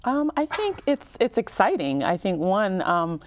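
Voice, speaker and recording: A woman in her thirties from Cameroon, speaking as a mayor in an interview in a measured, explanatory way with emphatic lists. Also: mid-range voice, very animated. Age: 30s. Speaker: town mayor, interview guest. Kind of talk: public-affairs interview.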